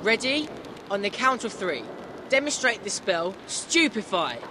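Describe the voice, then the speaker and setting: A man's voice: sits high, very animated, a little slow, background noise. An actor playing an enthusiastic British schoolboy duelling captain in a video game, commanding and showman-like. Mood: eager, businesslike. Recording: game audio with loud music behind.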